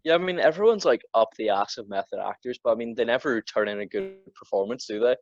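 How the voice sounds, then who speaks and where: A man's voice: mid-range voice, expressive, fast talker, highs cut off. A teenage male podcast co-host chatting casually about films in a blunt, sweary way, often repeating words as he goes. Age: teens. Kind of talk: casual film discussion.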